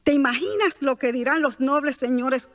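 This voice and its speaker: Scheming gossip played by a woman, radio drama; raised pitch, conspiratorial, faint music behind. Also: moderate pace, close to an everyday voice. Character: gossiping scheming townswoman, sly and suspicious.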